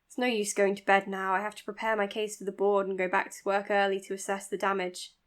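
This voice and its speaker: A woman's voice: medium pitch, little pitch movement, fast talker, lightly acted. An actress playing an overworked, self-blaming woman doctor in a naturalistic audio drama, speaking precisely, formally and earnestly. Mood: anxious, self-blaming. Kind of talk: naturalistic audio drama, dictated case notes and dialogue.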